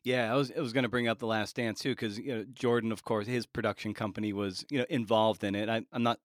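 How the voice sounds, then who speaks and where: A man's voice: fairly low pitch, fairly even intonation, fast, no echo, clean studio sound. A man hosting a podcast interview in a casual, conversational style.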